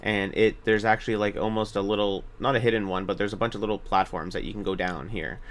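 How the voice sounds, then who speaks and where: A man's voice: on the low side, fairly even intonation, fast. A man narrating a video game walkthrough in a casual, informal way, hesitating and correcting himself as he gives directions. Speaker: gaming video guide narrator.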